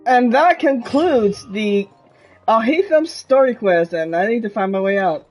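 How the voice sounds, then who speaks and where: A woman's voice: on the high side, lively intonation, a little slow, a little mellow, faint music behind. A woman streaming a video game with casual live commentary.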